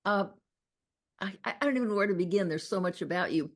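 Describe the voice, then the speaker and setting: A woman hosting an interview, asking her questions haltingly as she searches for words. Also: mid-range voice, expressive. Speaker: interview host. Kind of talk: conversational interview.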